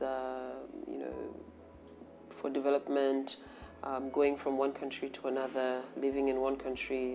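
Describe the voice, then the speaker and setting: A woman in her forties from Cameroon, an HR professional answering interview questions in a measured, reflective way. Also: a bass voice, vocal fry, darkish tone, slight background noise. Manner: measured and reflective, pausing to gather thoughts.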